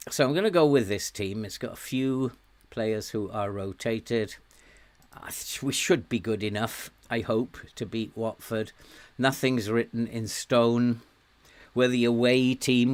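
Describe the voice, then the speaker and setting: A man live-streaming a management game, thinking aloud with frequent ums and soft chuckles while greeting viewers; he has a sore throat and is losing his voice. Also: lowish voice.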